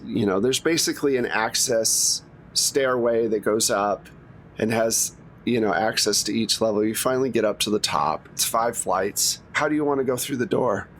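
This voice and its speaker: Male game master, mid-pitched, conversational and improvising, with faint noise and rumble behind. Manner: conversational, improvising scenes and prompting players. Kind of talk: casual tabletop game narration.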